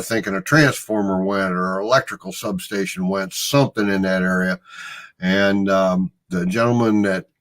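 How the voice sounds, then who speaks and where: Laid-back Midwestern man, lowish voice. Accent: Midwestern American. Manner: easygoing, rambling storyteller, chuckles often. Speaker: host. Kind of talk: casual livestream chat.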